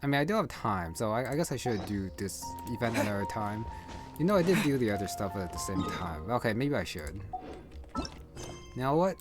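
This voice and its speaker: A man's voice: fairly low pitch, sing-song, brisk, music in the background. A man giving casual, hesitant game playthrough commentary, rambling and thinking aloud as he goes. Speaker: gaming video creator.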